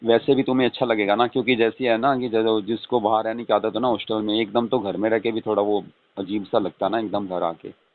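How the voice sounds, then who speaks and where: A man's voice: fairly low pitch, level tone, fairly quick. Middle-aged man on a tinny phone line. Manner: informal, often tags questions onto statements. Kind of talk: casual phone chat. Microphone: telephone. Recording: tinny, narrow phone-call audio.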